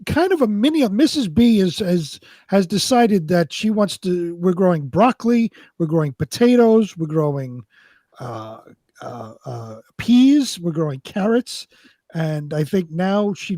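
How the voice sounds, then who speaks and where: A man co-hosting a show in casual chat, telling stories in a rambling, halting way. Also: high voice, big pitch swings, darkish tone, dry recording. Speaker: co-host of a live online show.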